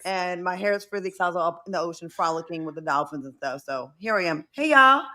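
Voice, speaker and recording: Bright-voiced woman with a New England accent. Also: lowish voice, lively intonation, fast. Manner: chatty, loose, laughs at herself. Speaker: co-host. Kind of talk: casual chat.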